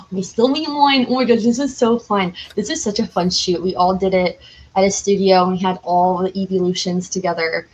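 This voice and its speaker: A woman's voice: mid-range voice, normal speed, a little hiss or hum, rough recording. A woman speaking as a podcast guest in an informal, enthusiastic, chatty way. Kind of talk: casual chat.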